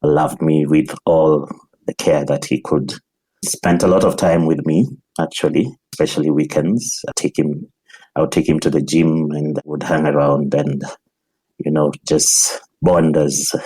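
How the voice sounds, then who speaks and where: Male podcast guest from Nairobi, Kenyan accent, very deep and husky, telling personal memories in interview. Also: fairly even intonation, unhurried, mellow, poor audio.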